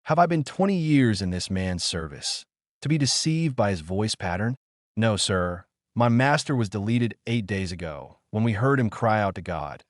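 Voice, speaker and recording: A loyal android butler, acted by a male narrator, speaks with frightened urgency. The delivery is formal and deferential, with very lively pitch movement in a dry audiobook sound. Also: normal speed, no echo, close to an everyday voice. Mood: distressed, insistent.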